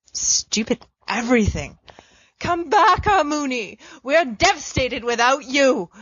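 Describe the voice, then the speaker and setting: A woman's voice: mid-range voice, breathy edge, dry recording. Woman reading with playful theatrical swings. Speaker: podfic reader. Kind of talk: reading a fan story letter aloud. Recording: dry, dull lo-fi recording.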